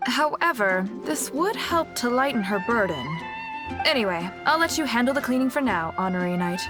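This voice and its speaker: A woman voicing a kindly senior church sister in an anime game, measured, formal and caring. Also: a little high, animated, natural voice. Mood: concerned, polite. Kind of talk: anime game character voice acting. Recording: music behind the voice. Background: game music.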